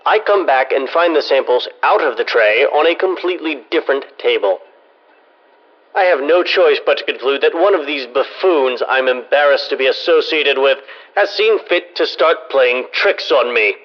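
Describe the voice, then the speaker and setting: Man acting pompous scientist on dull lo-fi recorder. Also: mid-range voice. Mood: disdainful, sardonic. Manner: formal, pompous, sardonic. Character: fussy, irritable male research scientist logging crystal findings. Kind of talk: scripted in-game audio log narration. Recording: dull lo-fi audio log recording.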